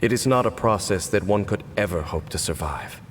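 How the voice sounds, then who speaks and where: An actor playing a solemn, weary, centuries-old cursed warrior in a video game, speaking in a grave, measured, formal way. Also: male voice, on the low side, expressive, slight background noise, natural voice.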